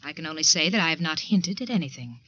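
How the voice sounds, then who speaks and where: A woman's voice: deep voice, expressive, quick pace, pushed and bright, slightly rough, exaggerated voice. An actress with an American accent playing a prim, resentful secretary in a 1940s radio suspense drama, formal and precise in her speech. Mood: coldly evasive. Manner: formal, precise, icily polite. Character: prim, resentful female secretary, coolly insinuating. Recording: dull, dry 1940s radio recording.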